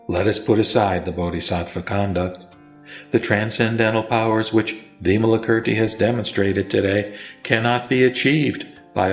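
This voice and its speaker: Man playing serene Buddha teacher, low-pitched, solemn measured preaching, echoey, soft music behind, sutra audio drama. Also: vocal fry. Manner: solemn, measured sermon delivery.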